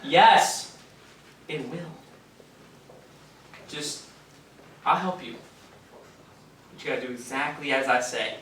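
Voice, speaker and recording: Placating male station employee, played by a stage actor: halting, sharp-toned, distant roomy recording with faint noise. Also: mid-range voice. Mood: soothing. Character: placating, fibbing male radio station underling. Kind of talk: live stage play acting. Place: indoor theater stage, picked up from afar.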